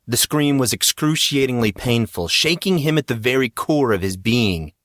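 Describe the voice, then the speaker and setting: A man's voice: mid-range voice, animated, normal speed, a bit bright, no room sound, studio quality. A man reading scripted fiction as the narrator of a story.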